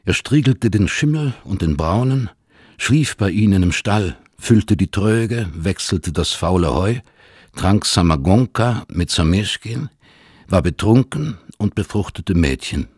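An actor as a solemn storyteller, narrating a literary radio drama in measured phrases. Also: male voice, low voice, animated, darkish tone, breathy edge, no room sound, clean studio sound, heavily acted. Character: sombre storyteller of a family tale.

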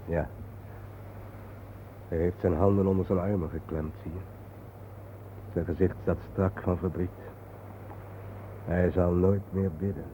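An actor playing a brooding, philosophical man in a radio drama, with grave, poetic, deliberate delivery. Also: deep voice, steady tone, mellow. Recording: narrow phone-like old radio recording, faint hiss.

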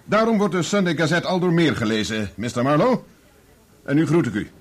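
Pompous higher-pitched newspaper boss. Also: male voice, lively intonation, brisk. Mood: indignant, lofty. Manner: formal, pompous, speechifying. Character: stiff, self-righteous newspaper boss defending his paper. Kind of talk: Dutch radio play acting.

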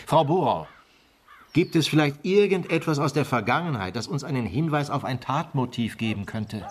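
An actor playing a sceptical police detective in a radio crime drama, asking formal, pointed questions. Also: male voice, mid-range voice, lively intonation, moderate pace, lightly acted. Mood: probing, sceptical.

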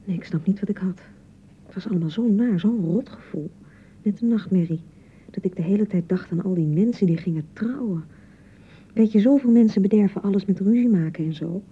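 An actress with a Dutch accent playing a young, anxious newlywed bride in a radio drama, touchy and fretful, chattering and repeating herself. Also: female voice, medium pitch, a little fast, breathy edge, phone-quality sound, close to an everyday voice. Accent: Dutch (Netherlands). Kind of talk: naturalistic radio drama acting.

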